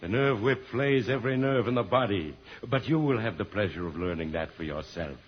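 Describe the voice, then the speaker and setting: An actor playing a ruthless, paranoid tyrant bent on conquest, menacing and grandiose in old-time radio style. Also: male voice, lowish voice, animated, brisk, natural voice. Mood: cruel, menacing. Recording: old radio transcription, muffled, hissy.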